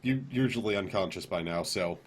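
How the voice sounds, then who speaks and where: Low-voiced man in casual banter. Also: brisk. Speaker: podcast co-host and streamer. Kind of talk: casual banter on a live stream.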